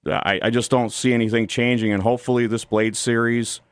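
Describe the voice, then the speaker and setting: A man's voice: medium pitch, little pitch movement, a little fast, clear, resonant tone, dry recording. An American man from Ohio speaking as a studio guest in a political radio interview, earnest and critical. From Toledo, Ohio, United States.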